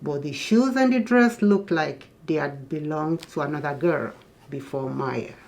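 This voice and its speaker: A woman's voice: deep voice, unhurried, vocal fry, a little mellow, a little hiss or hum. A woman reading a children's picture book aloud in a teacherly manner, explaining things to young listeners. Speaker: guest storybook reader.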